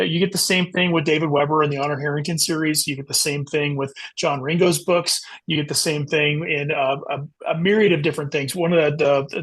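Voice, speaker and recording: A man speaking as an author guest in a casual podcast interview, haltingly thinking aloud as he talks. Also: on the high side, breathy edge.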